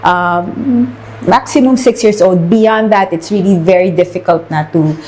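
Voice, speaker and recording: A woman's voice: mid-range voice, a little slow, darkish tone, slight background noise, rough recording. A woman with a Filipino accent, speaking as an interviewed therapist, explaining things in conversation and mixing in Tagalog words. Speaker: speech therapist being interviewed.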